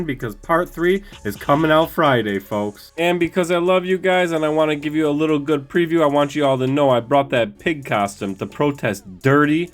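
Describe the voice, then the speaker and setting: A man's voice: medium pitch, a bit bright. An American man hosting a police-auditing channel, giving emphatic voice-over commentary and addressing his viewers familiarly. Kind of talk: scripted-sounding voice-over commentary to subscribers. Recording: faint music under the voice. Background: music.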